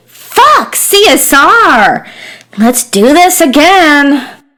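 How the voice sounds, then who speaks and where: A woman's voice: high-pitched, big pitch swings, very slow, sharp tone, voice pushed up, exaggerated voice. A woman voicing a bratty, foul-mouthed, sneering game mascot who scolds and mocks. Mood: scornful.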